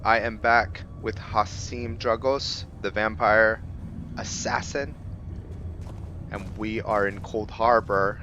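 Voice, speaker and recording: Man, gaming commentary, relaxed musing, unhurried delivery, slightly muffled mic. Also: lowish voice, a little hiss or hum. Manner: relaxed, musing aloud with fillers. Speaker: gaming video host. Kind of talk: casual game commentary.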